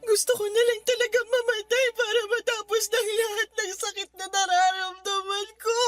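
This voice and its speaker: A woman's voice: very high voice, flat delivery, moderate pace, no room sound, soft background music. A woman with a Filipino accent playing a hurt, anxious wife and mother in a radio drama, tearful and plaintive. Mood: despairing. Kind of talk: Tagalog radio drama acting.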